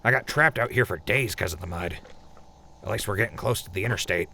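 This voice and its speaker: A man's voice: on the low side, fairly quick, gravelly, pushed and bright, a little hiss or hum. A man playing a practical, good-humored wasteland traveler in an audio drama. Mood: upbeat. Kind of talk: post-apocalyptic audio drama acting.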